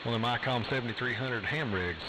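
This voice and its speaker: A man's voice: mid-range voice, fast. A man chatting casually in folksy rural phrases as a CB radio operator. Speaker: CB radio operator, channel regular. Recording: very noisy, hissy live CB radio stream.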